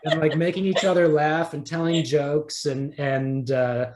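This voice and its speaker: A man's voice: on the high side, little pitch movement, measured pace, darkish tone. A man talking casually as an interview guest, peppering loose, restarted sentences with likes. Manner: conversational, peppered with likes and repeated starts. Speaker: interview guest, audio drama creator.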